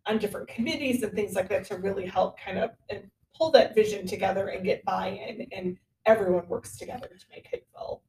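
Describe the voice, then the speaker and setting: A woman hosts a conversational podcast interview with noticeable creak in her mid-pitched voice. Her phrasing is chatty and a little hesitant. She sounds set back from the microphone in a roomy, echoing space. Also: fairly quick, hardly any pauses, a little mellow, slight background noise. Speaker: podcast host. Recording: roomy echo, distant from mic.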